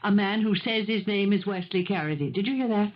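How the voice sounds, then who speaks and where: An actress playing a composed, middle-aged housekeeper in a 1970s radio mystery, speaking formally and precisely with cool, reproving logic. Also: female voice, mid-range voice, a little fast, natural voice. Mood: firm, reasoning. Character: composed, firm, sensible housekeeper to a rich man. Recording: dull, noisy old radio broadcast tape.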